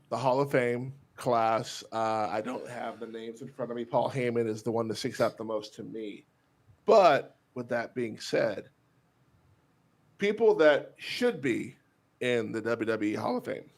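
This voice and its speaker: A man's voice: medium pitch, measured pace. A man with a Texas accent, co-hosting a podcast in casual, blunt, profane chat, laughing often. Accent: Texan. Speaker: podcast co-host.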